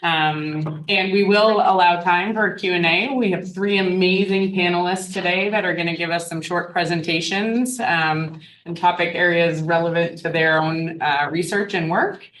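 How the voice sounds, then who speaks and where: A woman with a loud voice moderating an academic panel, introducing speakers with frequent ums. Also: on the low side, steady tone, normal speed, hardly any pauses, echoey room, a little hiss or hum, poor audio. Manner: loud, upbeat, with frequent fillers. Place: university seminar room.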